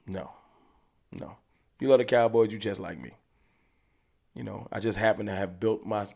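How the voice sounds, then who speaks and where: A man's voice: fairly low pitch, a little mellow. Guy ranting about his team on his channel, heated, repeats himself for emphasis. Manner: passionate, emphatic, repeats points. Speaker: fan channel host. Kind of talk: ranting commentary to camera. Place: room with hats on the wall behind him.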